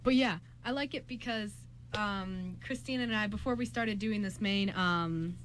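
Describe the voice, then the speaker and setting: A woman's voice: mid-range voice, measured pace, slight background noise. A woman co-hosting a radio show, chatting casually in a playful, informal way. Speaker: radio show co-host and voice actor. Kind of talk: casual chat.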